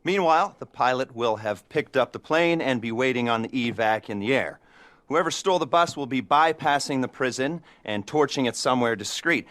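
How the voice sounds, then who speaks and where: A man's voice: mid-range voice, expressive, normal speed, fairly bright tone, natural voice. A man voicing an awkward, wry crime planner. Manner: awkward, self-mocking, laughs nervously. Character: nervous, sardonic male criminal mastermind. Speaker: heist planner briefing a crew. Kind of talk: video game cutscene dialogue.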